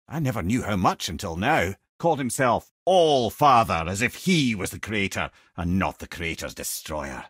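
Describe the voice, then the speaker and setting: A Scottish actor voicing a witty, talkative old male sage in a video game, with a husky voice and a wry storyteller's manner. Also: medium pitch, fairly bright tone, dry recording, clean studio sound.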